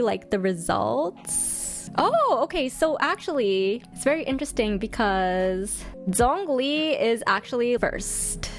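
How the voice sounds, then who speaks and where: A woman streamer giving casual, chatty fan commentary to her live chat in an expressive, slangy way. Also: a little high. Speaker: streamer hosting a ranking video.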